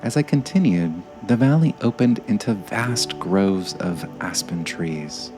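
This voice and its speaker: Very slow, low-voiced man guiding meditation. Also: expressive, faint music behind. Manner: slow, gentle, measured delivery with frequent pauses. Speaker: meditation guide. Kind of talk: guided meditation narration, scripted.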